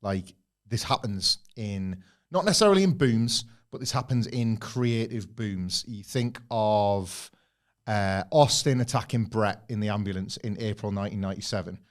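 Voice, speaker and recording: A man's voice: on the low side, normal speed. A man co-hosting a wrestling podcast, talking enthusiastically in long rambling takes. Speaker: podcast co-host. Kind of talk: casual podcast chat.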